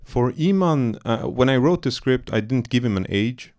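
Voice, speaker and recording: A man's voice: mid-range voice, animated, moderate pace, darkish tone. A man from Iran, a film director, talking loosely in a post-screening Q&A, searching for words with many fillers and restarts. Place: cinema auditorium.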